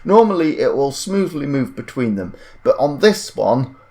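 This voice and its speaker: A man giving an informal software tutorial, thinking aloud and correcting himself in a hesitant way. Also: mid-range voice, sing-song, moderate pace. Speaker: tutorial presenter.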